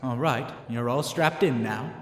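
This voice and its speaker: An actor playing a hesitant, conscience-stricken servant in an audio drama. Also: male voice, mid-range voice, unhurried, background noise, close to an everyday voice. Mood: regretful. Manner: hesitant, gentle. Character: conflicted loyal servant with a conscience. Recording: echoey hall heard from far off.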